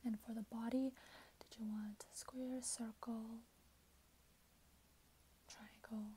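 A young woman in her twenties doing soft-spoken ASMR roleplay, often whispering, with a gentle, hesitant, polite customer-service manner. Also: a little high, little pitch movement, slow pace. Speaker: ASMR creator playing a robot companion clerk. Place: small plain room indoors. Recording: hissy background noise, keyboard typing. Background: keyboard typing and clicks.

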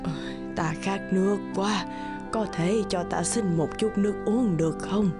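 A woman's voice: medium pitch, a little slow, loud music behind the voice, close to an everyday voice. A woman as a kindly, mysterious old woman. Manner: gentle, reassuring. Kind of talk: animated fairy tale dialogue.

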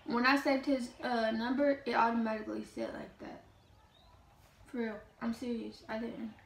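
A woman's voice: a little high, flat delivery, moderate pace, gravelly. A young American woman chatting casually with a friend in an offhand, slangy way. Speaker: vlogger's friend. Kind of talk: casual chat. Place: small home room. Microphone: camera mic, sitting back from it. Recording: echoey, noisy room camera audio.

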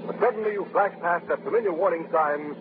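A man's voice: high-pitched, fast, noisy background. A man reading an emphatic sponsor message as an old-time radio announcer. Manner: emphatic, salesman-like. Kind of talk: 1930s radio ad read. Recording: tinny old radio broadcast recording.

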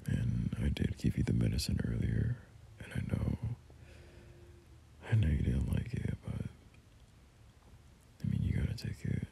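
A young man playing a caring, soothing boyfriend in an ASMR roleplay, murmuring gently and hesitantly in a breathy, gravelly half-whisper. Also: very deep voice, sing-song, a little hiss or hum, heavily acted. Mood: tender, reassuring. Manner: gentle, hesitant, unhurried reassurance.

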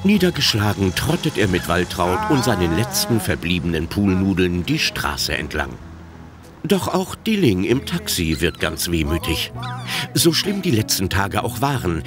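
A man's voice: low voice, sing-song, measured pace, over music. A man narrating a comedy radio play from a script in a wry, dramatic storytelling manner.

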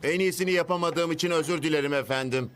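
A man's voice: on the high side, quick pace, a little hiss or hum, lightly acted. A man playing an anxious, earnest teenage boy gunner in a dubbed cartoon, often incredulous. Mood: puzzled, earnest.

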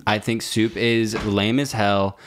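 A man's voice: lowish voice, level tone, slow pace, slight background noise. A man in his twenties co-hosting a podcast, chatting casually with slangy, blunt swearing, recorded on a podcast mic in a small room. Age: 20s. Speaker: podcast co-host. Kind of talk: casual chat. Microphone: podcast microphone on boom arm.

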